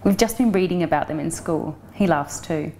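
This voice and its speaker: A woman's voice: deep voice, normal speed, mellow, slight background noise. A young adult woman giving a frank, matter-of-fact first-person reading of a novel. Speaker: audiobook reader.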